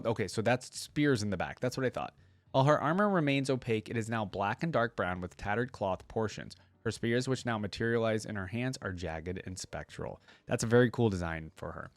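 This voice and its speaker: A man's voice: medium pitch, no room sound. A man hosting a reaction video, reading a wiki aloud and adding casual asides in his own words.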